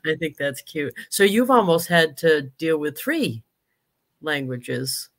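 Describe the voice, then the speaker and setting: A woman's voice: low voice, animated, slow pace. An older woman, hosting a casual interview over a video call from her home office and laughing often. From United States. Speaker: host. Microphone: webcam on a video call.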